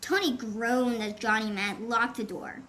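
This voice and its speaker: A woman's voice: a little high, fairly even intonation, a little slow, pushed and bright. A woman reading a scripted children's adventure story as an audiobook narrator. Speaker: audiobook author and reader. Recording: faint background noise.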